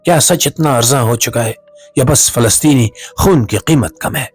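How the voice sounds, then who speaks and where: A man's voice: mid-range voice, moderate pace. A man delivering scripted dramatic narration as a host, in a solemn, impassioned and rhetorical manner. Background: clear music bed under the voice.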